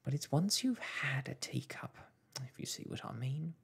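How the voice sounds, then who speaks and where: A storyteller voicing a fretful, guilt-ridden mother in a children's storybook, breathy and quavering, with a folksy, lamenting manner. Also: mid-range voice, expressive, moderate pace, voice pushed up, full character voice. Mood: rambling regret. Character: fretful, guilt-ridden mother of a tiny family, tearful scolder.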